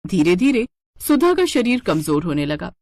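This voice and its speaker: A woman's voice: on the low side, big pitch swings, moderate pace, darkish tone, dry recording. A woman storyteller reading scripted narration of a tale.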